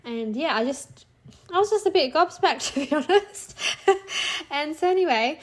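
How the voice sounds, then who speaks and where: A woman in her thirties talks through her sales figures in a relaxed, chatty way, sprinkling in "like" and "so". Her voice sits a little high and sounds a bit nasal from a cold. She keeps an upbeat tone and laughs lightly now and then. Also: moderate pace. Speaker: clipart seller sharing a monthly income report. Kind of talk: casual screen-share walkthrough.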